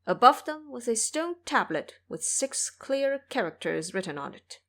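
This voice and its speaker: Brief: woman narrator, expressive scripted storytelling for an audio drama. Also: a little high, measured pace. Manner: expressive, vivid descriptions.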